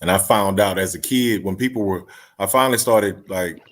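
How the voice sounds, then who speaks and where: A man in casual chat, telling a relaxed story from his childhood. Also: low voice, moderate pace.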